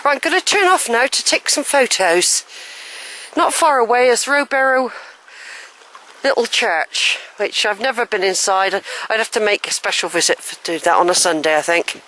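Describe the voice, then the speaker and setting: A woman's voice: on the high side, normal speed, sharp tone, rough recording. An older woman, chatting and thinking aloud as she films a woodland walk, a little wheezy. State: a bit wheezy. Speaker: walking vlogger. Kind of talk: casual walking commentary. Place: wooded track outdoors. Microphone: handheld camera while walking.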